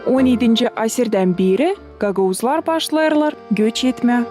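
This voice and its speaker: A woman voicing a patient mother who tells history to children in an animated cartoon, in a measured storytelling style. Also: on the high side, music in the background, close to an everyday voice. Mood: patient, instructive.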